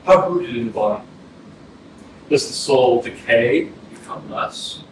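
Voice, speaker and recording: A man's voice: medium pitch, slow, close to an everyday voice. A man acting in a staged reading as a yearning seeker, speaking a poetic, questioning monologue. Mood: yearning, searching. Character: yearning male seeker pondering the soul. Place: arts center stage, distant mic. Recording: very echoey hall, distant, clear noise.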